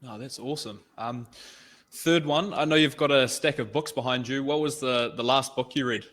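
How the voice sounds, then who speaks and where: A male host runs a casual interview over a video call. His voice sits at a middle pitch. He sounds upbeat and keeps affirming his guest's answers. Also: moderate pace, fairly bright tone.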